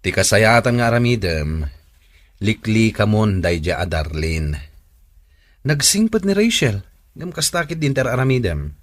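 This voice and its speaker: An actor playing a concerned, admonishing young friend in a Filipino radio drama, speaking earnestly with a Filipino accent. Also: male voice, fairly low pitch, sing-song, unhurried, long pauses, no echo, studio quality. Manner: earnest, reproving. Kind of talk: Philippine radio drama acting.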